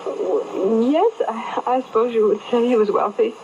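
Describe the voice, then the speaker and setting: A woman's voice: on the high side, expressive, normal speed, darkish tone, close to an everyday voice. Anxious radio-drama widow, played by a woman, muffled. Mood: anxious, defensive. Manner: hesitant, fragile, formal. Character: anxious, defensive wealthy widow. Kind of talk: old-time radio detective drama acting. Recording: dull AM radio reception, faint noise.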